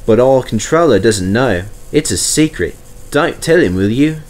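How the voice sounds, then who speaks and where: A man's voice: medium pitch, animated, moderate pace, lightly acted. A man voicing a friendly vintage steam engine, chatty and earnest, in a storybook. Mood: cheerful, confiding.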